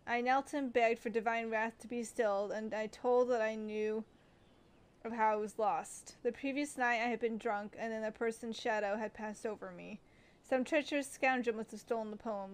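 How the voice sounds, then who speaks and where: A woman game streamer giving casual live commentary, reading aloud and reacting. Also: a little high. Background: game music.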